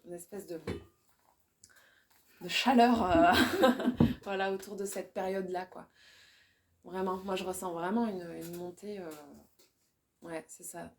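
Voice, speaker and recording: A woman's voice: on the low side, fairly even intonation, slow pace, pauses often, a little hiss or hum. A woman, speaking in a casual discussion. She hesitates a lot, restarting phrases as she looks for the right word. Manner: hesitant, searching for words, many restarts. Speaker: poet.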